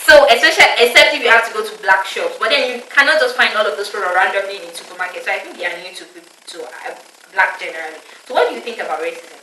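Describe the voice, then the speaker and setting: Young woman from Nigeria, vlog host, chatty and fast, recorded a bit off-mic in a roomy space. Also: mid-range voice, lively intonation, sharp tone, slight background noise. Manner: chatty, laughs often, many fillers. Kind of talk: casual chat. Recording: echoey room, mic at a distance.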